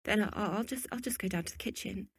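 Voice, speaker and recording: A woman acting a lovelorn, hesitant young sister with a husky, halting delivery in an amateur period radio drama. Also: mid-range voice, dry recording, clean studio sound. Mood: anxious.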